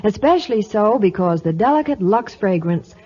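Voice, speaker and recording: A woman presenter reads a scripted 1950s radio ad. Her mid-pitched voice moves with lively intonation, and the old broadcast sounds muffled. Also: normal speed, breathy edge.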